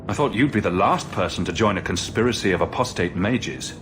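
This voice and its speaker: A man's voice: fairly low pitch, lively intonation, a little fast, background noise, lightly acted. A man playing a bold, blunt heroic champion in a video game drama, with a direct way of talking. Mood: indignant, challenging. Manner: direct, questioning. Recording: loud music behind the voice.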